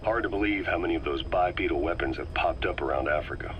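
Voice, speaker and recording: An actor playing a serious, analytical military intelligence man in a video game, grave and deliberate. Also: low-pitched, lo-fi sound, noisy background, natural voice. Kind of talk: video game briefing dialogue.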